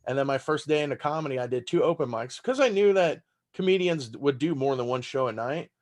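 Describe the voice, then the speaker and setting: A man's voice: mid-range voice, animated, brisk, a little mellow. A man in his thirties from Texas, whose first language is German, talking in a rambling, casual way as a comedian guest on a podcast, swearing freely.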